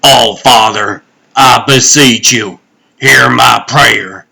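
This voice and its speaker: An earnest thunder-god lawman in a comic book drama, performed by a man. His voice is gravelly and sharp, unhurried, and he talks in a grave, archaic, formal manner. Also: medium pitch, exaggerated voice. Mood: pleading.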